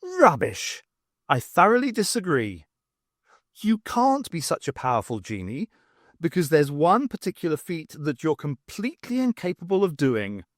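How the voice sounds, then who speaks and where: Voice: mid-range voice, big pitch swings, moderate pace, dry recording, studio quality, voice pushed up, lightly acted. A reader voicing a flustered, sardonic woman adventurer who is sarcastic and prone to exclamations. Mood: defiant.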